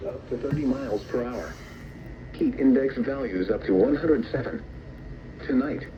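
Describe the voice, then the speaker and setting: Male synthetic radio announcer, mid pitch, formal and impersonal, buried in heavy noise. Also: normal speed, dull audio. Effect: radio broadcast. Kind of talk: scripted public service announcement. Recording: very noisy weather radio reception.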